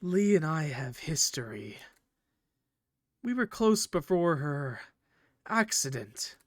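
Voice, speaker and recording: A man voicing a possessive, coldly polite villain from a visual novel, speaking formally and theatrically in measured, condescending phrases. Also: on the high side, sing-song, dry recording, studio quality. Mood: brooding, solemn. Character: possessive, coldly polite male villain, eerie train conductor. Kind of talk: visual novel character reading.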